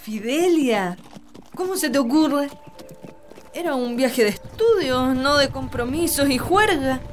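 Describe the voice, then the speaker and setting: Tender, high-voiced young lady. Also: lively intonation, unhurried. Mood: teasing, coy. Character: young wealthy lady, tender, happy to be home. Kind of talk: radio drama acting. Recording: loud music behind radio drama dialogue.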